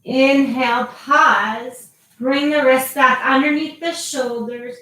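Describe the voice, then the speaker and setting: Woman yoga teacher, high voice, very slow, calm cues, echoey room, distant mic. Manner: slow, calm cueing with long pauses. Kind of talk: guided yoga instruction.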